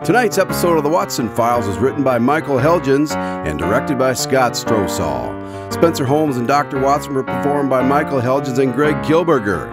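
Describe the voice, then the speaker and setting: A man's voice: mid-range voice, animated, moderate pace. A man hosting a scripted radio drama show as its announcer, with music behind him. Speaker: announcer and host.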